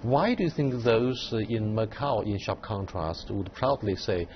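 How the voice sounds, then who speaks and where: A man hosting a studio panel, posing long questions with measured pacing and frequent hesitations. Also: lowish voice, animated, muffled recording, a little hiss or hum. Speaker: host.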